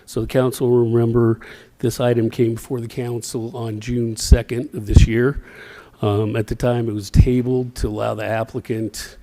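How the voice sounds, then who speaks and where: A man from city planning staff presenting zoning items to a city council in a plain, steady way. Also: medium pitch, moderate pace. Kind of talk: formal presentation at a council meeting.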